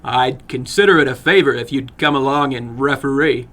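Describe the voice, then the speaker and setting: A man voicing a wary young American man with a deliberate, questioning delivery in a dramatic story reading. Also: mid-range voice, fairly bright tone, close to an everyday voice. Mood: resolved, casual.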